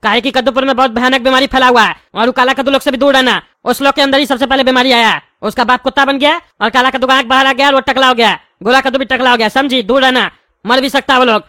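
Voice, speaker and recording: Shrill, fast-talking cartoon politician. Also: male voice, steady tone, sharp tone, dry recording, clean studio sound. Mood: stern, warning. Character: blustering male cartoon politician, strict overprotective father. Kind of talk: comic cartoon dialogue.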